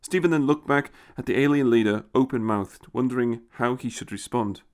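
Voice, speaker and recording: A man's voice: medium pitch, normal speed. A man reading a scripted science fiction story as its narrator.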